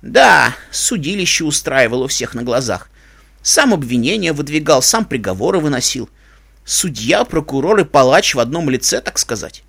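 A man's voice: medium pitch, big pitch swings, normal speed, creaky, fairly bright tone, slightly rough, no echo, clean studio sound, full character voice. Man playing a grim old grandfather pony. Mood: bitter. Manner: colloquial, grim, emphatic. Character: old male pony, weary, grave village grandfather.

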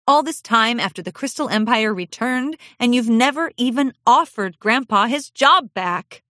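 A woman's voice: on the high side, lively intonation, moderate pace, sharp tone, no room sound, clean studio sound, voice pushed up. A woman reading aloud voices a young, lonely filly pony who talks in an earnest, pleading, childlike way. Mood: aggrieved.

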